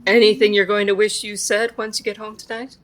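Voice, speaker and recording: A woman's voice: mid-range voice, level tone, a little fast, close to an everyday voice. A woman acting a composed support group leader. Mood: amused, calm. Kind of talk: audio drama acting.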